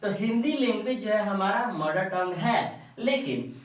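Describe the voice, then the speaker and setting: A man's voice: sits high, lively intonation, unhurried. A male teacher explaining a lesson, repeating each sentence and asking rhetorical questions, often checking that the listener is following. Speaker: English teacher. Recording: very echoey room, voice far from the mic.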